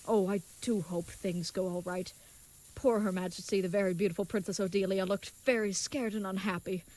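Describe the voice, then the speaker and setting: A woman's voice: medium pitch, natural voice, low-quality recording. A woman playing an earnest, naive, chivalrous young knight in an audio comedy, speaking with stilted, wordy politeness. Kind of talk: scripted audio comedy acting.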